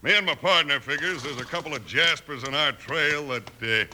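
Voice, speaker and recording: An actor playing a cocky, scheming outlaw gang leader, smug and commanding, in a 1950s western radio drama. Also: male voice, fairly high pitch, moderate pace, pushed and bright. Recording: faintly noisy old radio recording.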